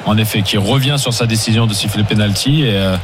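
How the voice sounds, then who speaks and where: A man who refereed many matches, analysing refereeing calls on live radio in a measured way. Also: on the low side, a loud crowd. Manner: fast, measured, explains calls carefully. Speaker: former referee consultant. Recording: radio broadcast with music behind.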